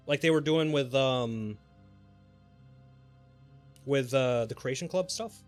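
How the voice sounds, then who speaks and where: A man's voice: mid-range voice, slow pace. A man chatting casually and informally on a live gaming stream, speculating in a rambling way. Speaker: game livestreamer. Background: background music.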